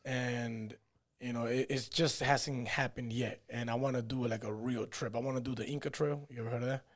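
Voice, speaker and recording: A man's voice: mid-range voice, steady tone, a little fast. A man in his thirties, born in Peru, telling his life story in a loose, rambling way on a podcast, dropping "you know" and "man" often. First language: Spanish. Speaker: podcast guest, fighter. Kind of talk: casual storytelling interview.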